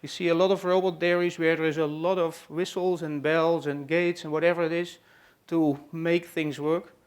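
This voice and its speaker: Halting Dutch-accented man presenting. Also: a little high, flat delivery. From Netherlands. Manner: hesitant, many "uh" and "let's say" fillers. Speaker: presenter hosting a farm tour session. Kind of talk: live introduction to an audience. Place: room at a trade expo.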